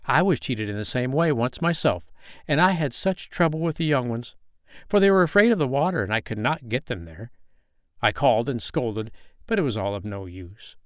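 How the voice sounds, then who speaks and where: A man's voice: medium pitch, animated, normal speed, dry recording, studio quality, natural voice. A man voicing a know-it-all old female duck in a storybook reading, bossy and opinionated.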